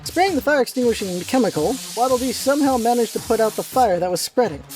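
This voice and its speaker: A woman's voice: on the high side, expressive, quick pace, darkish tone, a little hiss or hum, low-quality recording. A woman reading scripted storybook narration as the narrator of a radio play.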